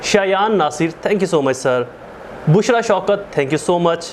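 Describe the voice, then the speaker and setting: A man's voice: sits high, lively intonation, takes it slowly, a little hiss or hum. A man with a Pakistani accent teaching as a law lecturer, explaining in a didactic way, repeating and rephrasing key terms and mixing in English.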